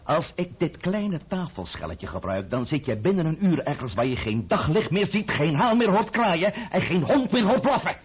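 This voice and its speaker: An actor playing an imperious middle-aged count in a radio play, sardonic and grandiose, with a husky voice that booms when roused. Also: male voice, sing-song, moderate pace, hardly any pauses, exaggerated voice. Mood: thundering, exasperated. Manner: sardonic, grandiose, swinging from smooth to roaring. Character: imperious, blustering middle-aged count, powerful and quick to thunder. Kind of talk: Dutch radio drama acting. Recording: muffled, band-limited old radio recording.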